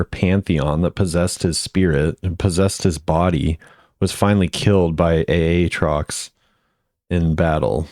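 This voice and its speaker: A man's voice: deep voice, darkish tone, no echo, studio quality. A man hosting a video, giving casual commentary as he ranks game voice lines.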